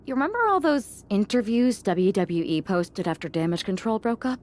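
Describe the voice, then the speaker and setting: A woman's voice: medium pitch, sing-song, moderate pace, darkish tone, lightly acted. An actress playing a shrewd, wary female wrestler co-leading a team in a video game cutscene, cool and calculating.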